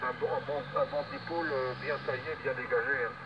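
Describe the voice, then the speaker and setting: Radio-transmitted man, repetitive halting chat, distant from the mic, muffled sound. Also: mid-range voice, steady tone. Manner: rambling, repetitive, hesitant. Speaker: amateur radio operator. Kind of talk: casual radio contact chat. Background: loud radio and outdoor noise.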